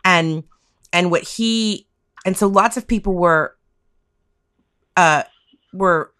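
Low-voiced American woman in casual podcast chat. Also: takes it slowly, fairly bright tone. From United States. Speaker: podcast guest discussing topic of the week.

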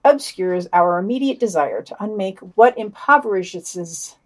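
Female podcast co-host, medium pitch, scripted news digest read aloud. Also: lively intonation, unhurried.

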